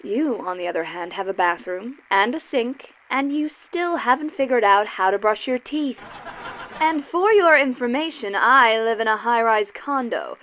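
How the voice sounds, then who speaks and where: An actress playing a haughty sister-in-law in a comic radio play, scornful and cutting. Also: female voice, on the high side, animated, normal speed, fairly bright tone, dull audio. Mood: mocking. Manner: scornful, mocking. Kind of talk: domestic comedy radio play. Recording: faint background noise.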